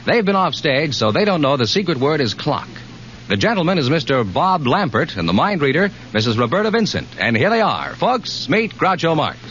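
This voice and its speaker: A man's voice: fairly high pitch, sing-song, brisk, fairly bright tone, highs cut off, slight background noise. A man announcing formally in 1940s radio style, on an old broadcast recording.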